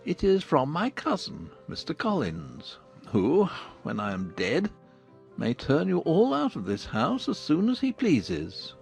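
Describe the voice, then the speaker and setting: A man playing a wry, teasing middle-aged husband and father in a dramatized audiobook, measured and drily ironic. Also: a little high, huge pitch swings, gravelly, darkish tone, soft background music, full character voice. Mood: dryly teasing.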